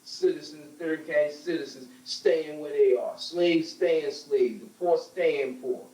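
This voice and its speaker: An American man with a fairly high voice argues his points in a group discussion. He is emphatic and preachy, circling back on key phrases, and is heard from a distance in a very roomy space. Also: slow pace, warm tone. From United States. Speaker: panel speaker on a religious talk show. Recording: roomy echo, distant microphone.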